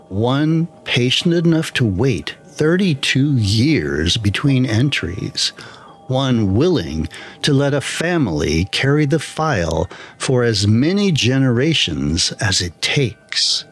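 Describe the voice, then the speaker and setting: A man reading a scripted first-person horror story in a measured, deliberate way, with pauses between phrases. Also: mid-range voice. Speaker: storyteller, channel narrator.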